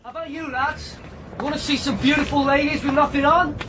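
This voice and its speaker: A man's voice: very high voice, fairly even intonation, brisk, recorded from a distance, noisy background. An actor playing a pushy male street barker who coaxes passers-by into a strip club, in a radio drama. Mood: wheedling.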